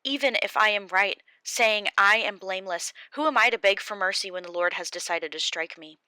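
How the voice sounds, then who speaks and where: A woman's voice: medium pitch, normal speed, sharp tone, clean tone, dry recording, natural voice, low-quality recording. A woman reading Job, an anguished defiant old man. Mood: impassioned defiance. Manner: impassioned, raised pleading delivery. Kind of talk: audiobook character reading.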